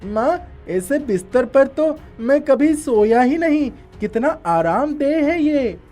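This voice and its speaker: A delighted little boy performed by a woman talks in a very high, mellow voice at a slow pace. It is animated storybook acting with music behind. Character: delighted little boy, the mother's young son.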